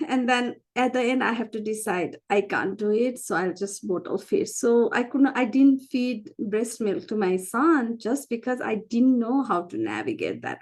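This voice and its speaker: A woman's voice: on the high side, darkish tone. A woman from Nepal with a Nepali accent, giving a candid, conversational personal account as an interview guest, repeating phrases for emphasis. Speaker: interview guest, physician and founder.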